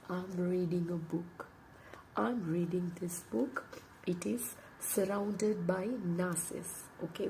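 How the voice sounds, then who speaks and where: A woman whose first language is Tamil, an English instructor with a sore throat and a nearly lost voice, talking late at night in a hushed, breathy half-whisper and reading aloud from a book. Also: on the low side, a little hiss or hum, low-quality recording.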